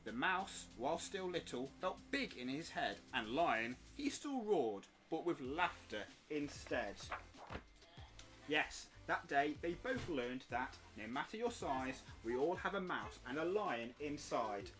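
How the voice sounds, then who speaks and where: A man's voice: fairly high pitch, big pitch swings, measured pace, a bit bright, soft background music. A British man playfully and expressively reading a rhyming bedtime story as a streaming parent. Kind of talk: animated rhyming storybook narration.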